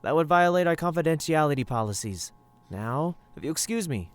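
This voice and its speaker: An actor playing a formal, officious hospital doctor in an audio drama, clipped and evasive. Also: male voice, on the high side, expressive, a little fast, slight background noise, close to an everyday voice. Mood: brisk, dismissive.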